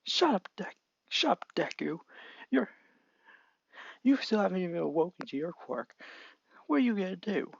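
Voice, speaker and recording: A man's voice: high-pitched, sing-song, normal speed, creaky, pauses often, breathy edge, dry recording, dull audio, pitched above the performer's own voice, full character voice. A man voicing an arrogant, hot-tempered young bully who sneers and taunts. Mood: sneering.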